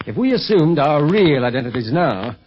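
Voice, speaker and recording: An actor playing a level-headed, protective secret agent uncle in a 1930s radio serial, matter-of-fact and gently chiding. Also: male voice, fairly high pitch, very animated, normal speed, faint music behind. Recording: muffled, noisy 1930s radio recording.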